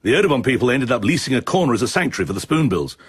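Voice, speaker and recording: Efficient british army officer briefing in a radio play, quick talker, bit husky, level-headed. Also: male voice, medium pitch, big pitch swings, no room sound, studio quality. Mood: briskly informative. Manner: brisk, precise, informative. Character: efficient, level-headed male chief of staff, military officer. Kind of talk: radio drama acting.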